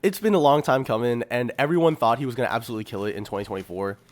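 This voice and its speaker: A man's voice: mid-range voice, racing, no room sound. A man co-hosting a podcast, chatting casually in a slangy, opinionated way. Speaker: podcast co-host. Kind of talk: casual chat.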